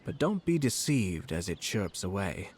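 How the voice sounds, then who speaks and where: An actor playing a composed, shrewd young nobleman in a video game, speaking eloquently with measured, subtly mocking courtesy. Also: low voice, sing-song, a little mellow, breathy edge, exaggerated voice. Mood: sly amusement. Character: composed, shrewd young noble lord, politely cutting commissioner.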